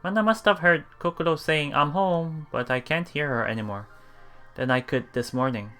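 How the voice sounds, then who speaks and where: A man's voice: a little high, normal speed, fairly bright tone, clear, resonant tone. A man doing a let's play, reading a visual novel aloud as narrator and adding casual remarks. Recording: game music behind the voice.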